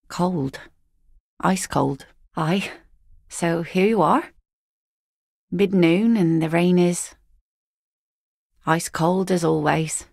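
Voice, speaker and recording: A weary, sardonic net mender who has heard every joke. Her low, gravelly voice stays dry and teasing. The close studio recording has no room sound at all. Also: no room sound, heavily acted. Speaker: voice actress. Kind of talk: video game voice lines.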